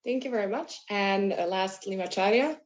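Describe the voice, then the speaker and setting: A woman from Poland moderating an online panel, speaking haltingly and searching for words. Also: medium pitch, muffled recording.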